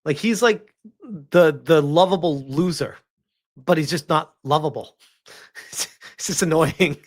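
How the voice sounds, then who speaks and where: A man's voice: on the high side, takes it slowly. A man doing casual live reaction commentary in an incredulous, mocking and emphatic way.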